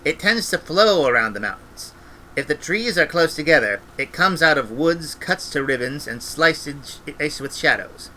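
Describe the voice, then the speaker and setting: A man reading a story aloud as an expressive narrator. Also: a little high, unhurried, a little hiss or hum, low-quality recording. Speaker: audiobook reader, former radio presenter.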